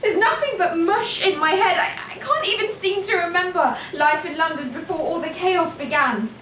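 A woman's voice: extremely high pitch, lively intonation, moderate pace, a bit bright. A woman playing a despairing, worn-out character in an audio drama, anguished, with repetitive, breaking phrases. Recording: echoey, distant room with faint noise.

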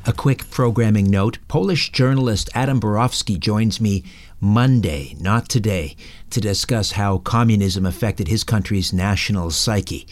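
A man's voice: fairly low pitch, a little slow, soft background music. A man hosting a talk podcast, delivering scripted narration and sponsor reads. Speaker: podcast and radio host.

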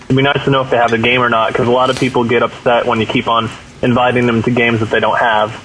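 A man on a gaming podcast panel, talking quickly at a middle pitch over a faintly noisy line. His delivery is casual and conversational. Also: flat delivery, fairly bright tone.

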